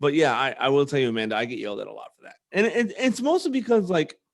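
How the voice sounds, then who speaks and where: A man's voice: fairly high pitch, sing-song, fast talker, vocal fry. A man with an American accent hosting a podcast, telling personal stories in a casual, earnest way. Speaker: podcast host; former army platoon leader.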